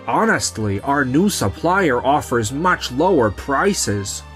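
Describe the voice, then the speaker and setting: A man's voice: mid-range voice, big pitch swings, slow pace, a bit bright, soft background music. A man voicing a self-assured businessman in a manga romcom dub. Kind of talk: scripted manga dub acting.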